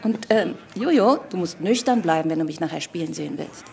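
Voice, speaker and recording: Poised young stage performer. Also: female voice, on the low side, lively intonation, fairly quick, creaky, a little mellow. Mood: teasing. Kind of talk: radio drama acting.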